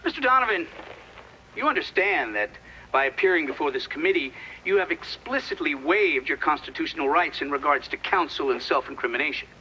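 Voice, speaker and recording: Highish, lively-toned stern committee questioner. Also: male voice, highs cut off, a little hiss or hum. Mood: formal, stern. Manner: formal, deliberate, official. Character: stern male government committee questioner, formal and procedural. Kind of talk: video game hearing-room acting.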